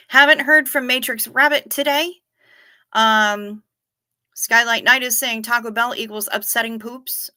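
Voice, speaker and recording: Woman, fifties, livestream host. Bright, dry close-mic voice, wry and chatty. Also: medium pitch, dry recording. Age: 50s. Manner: chatty, wry, swears casually. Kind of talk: casual livestream chat reading comments.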